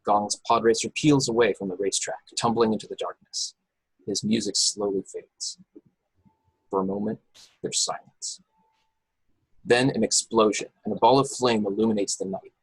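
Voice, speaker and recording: A man's voice: on the low side, steady tone, moderate pace, gravelly, breathy edge, dry recording, poor audio. A man reading scripted action descriptions as narrator in an informal, unpolished way on an amateur online table read. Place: video call from a room.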